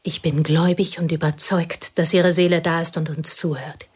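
A husky, whispering confidante. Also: female voice, low voice, level tone, normal speed, a little mellow. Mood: intense. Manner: intense, intimate confession. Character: plain devoted woman confessing to a pianist. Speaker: confiding companion. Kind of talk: radio play acting.

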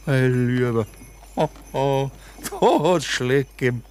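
A man's voice: mid-range voice, big pitch swings, a little mellow, slight background noise. An actor playing a middle-aged Bavarian man who drives his old friend's coffin home. He tells stories with hearty relish and laughs easily, in a 1960s radio play. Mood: reminiscing. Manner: hearty storytelling, quick to laugh.

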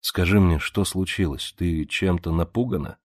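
A man's voice: low voice, moderate pace, no room sound, studio quality. A man reading aloud who voices a weary, ironic male revolutionary with a lazy, drawling manner. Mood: gentle. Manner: drawling, mocking, then gentle.

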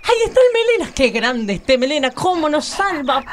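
Feisty Argentine small-town woman played by an actress, lively. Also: high voice, brisk, very noisy. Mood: bossy, urgent. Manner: colloquial, emphatic. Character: feisty, outspoken small-town woman, narrating heroine of the story. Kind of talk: radio drama acting and narration.

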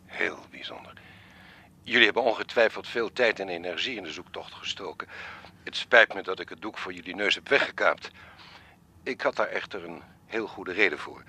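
An actor playing an elderly, courteous, wistful art collector in a radio drama, speaking in a formal, measured, reflective way. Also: male voice, low-pitched, sharp tone, breathy edge, exaggerated voice. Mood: intrigued, courteous. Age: 70s or older.

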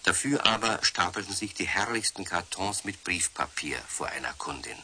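A man playing the storyteller of a children's radio play, narrating in an animated scripted style. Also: low voice, moderate pace, husky, exaggerated voice. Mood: storytelling. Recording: faint hiss of 1970s radio recording.

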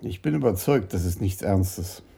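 An actor playing a composed police commissioner who questions people in a measured, polite way in a radio drama. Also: male voice, low voice, expressive, vocal fry, warm tone, slightly rough, exaggerated voice. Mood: composed, probing. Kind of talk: radio crime drama acting.

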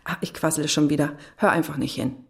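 A cleaning woman in an audio drama, with a lowish voice. She is blunt and big-hearted, and she rambles on coarsely from one thing to the next. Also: fairly even intonation, quick pace, creaky. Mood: chatty, blunt. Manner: garrulous, coarse, tumbling from topic to topic.

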